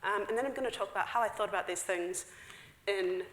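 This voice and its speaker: A woman's voice: medium pitch, fairly bright tone. Chatty woman speaker in an echoey hall. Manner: chatty, frequent fillers, quick asides with laughs. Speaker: conference speaker. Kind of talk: technical conference talk. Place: large dark theater stage.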